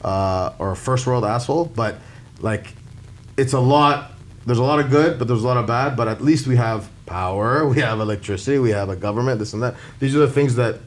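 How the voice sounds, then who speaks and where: A male podcast host talking fast and with lots of energy. He drops Arabic words into casual conversation and gets louder and higher when he is fired up. His voice sits at an ordinary middle pitch. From Canada.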